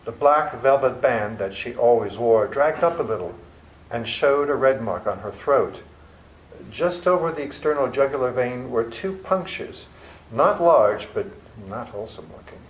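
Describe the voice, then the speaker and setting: A man narrating journal entries as a worried young doctor in a live radio play, measured in narration and anxious in scenes. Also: mid-range voice, animated, lightly acted. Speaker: narrator and lead actor. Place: library hall with live audience. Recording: echoey hall with faint room noise.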